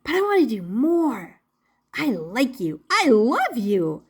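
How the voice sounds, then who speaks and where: A woman voicing an eager, affectionate little wooden boy in a storybook, with an excitable, earnest manner. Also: a little high, slow, slightly rough, exaggerated voice. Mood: eager, adoring.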